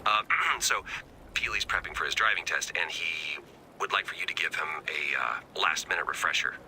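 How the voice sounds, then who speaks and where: An actor playing a goofy, earnest resistance squad leader in a video game, coaxing and wry, with emphatic delivery. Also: male voice, deep voice, expressive, normal speed, gravelly, pushed and bright, slight background noise, exaggerated voice, poor audio. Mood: sheepish, coaxing. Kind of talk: video game mission dialogue.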